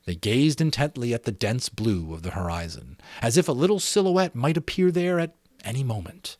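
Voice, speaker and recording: Expressive man narrating with lively pitch. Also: moderate pace, no room sound, studio quality. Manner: theatrical, expressive. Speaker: amateur audiobook narrator. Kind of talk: dramatic storybook narration.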